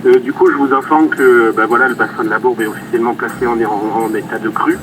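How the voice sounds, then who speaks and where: A man's voice: medium pitch, steady tone, fast talker, over music. A man making an informal, slightly hesitant official phone call. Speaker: river basin authority official. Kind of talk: phone call in crisis drill. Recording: distant mic, noisy surroundings.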